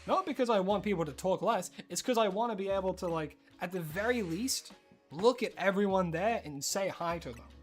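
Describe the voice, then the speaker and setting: An Australian streamer chatting casually while gaming, rambling and excitable, breaking into high squeals of surprise. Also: a little fast, faint music behind.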